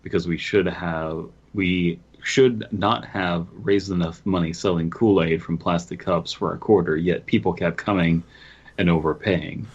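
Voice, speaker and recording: Male podcast co-host, deep and husky, casual commentary, slightly muffled microphone. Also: fairly even intonation, measured pace. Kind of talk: casual comic commentary with some story reading. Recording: dull, lo-fi microphone sound.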